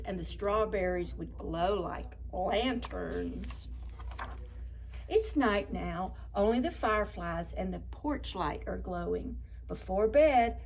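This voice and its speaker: A woman hosting children's story time, reading picture books expressively with drawn-out words and chatty asides. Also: medium pitch, darkish tone, a little hiss or hum. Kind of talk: animated picture book read-aloud for preschoolers.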